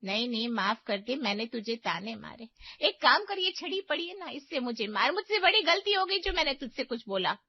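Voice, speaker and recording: A woman's voice: high-pitched, big pitch swings, moderate pace, few pauses, no room sound, highs cut off, voice pushed up, exaggerated voice. A woman voicing an easily offended middle-aged mother-in-law in a cartoon story, taunting and melodramatic. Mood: melodramatic, wounded. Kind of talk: cartoon moral story acting.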